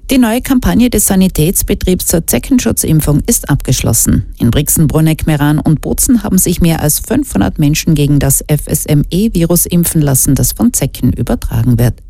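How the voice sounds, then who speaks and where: Female radio news anchor, very deep mellow voice, scripted bulletin delivery, dry close sound. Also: animated, brisk, vocal fry, few pauses, no room sound.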